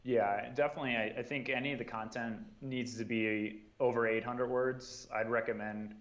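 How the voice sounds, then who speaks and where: Man giving relaxed advice, dull audio. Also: medium pitch, moderate pace. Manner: relaxed, advice-giving, many "um"s and "you know"s. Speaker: guest, marketing and sales coordinator. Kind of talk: casual podcast discussion.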